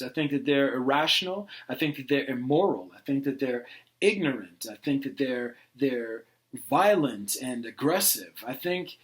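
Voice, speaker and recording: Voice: mid-range voice, natural voice. A performer playing an arrogant, self-righteous believer in a satirical dialogue, speaking with emphatic, impatient condescension and swearing.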